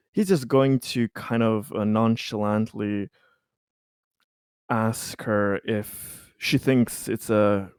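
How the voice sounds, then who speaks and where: A man playing a tabletop roleplaying game, talking casually in halting, tentative phrases as he describes his character's actions. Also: on the low side, darkish tone, clear, resonant tone, dry recording, clean studio sound.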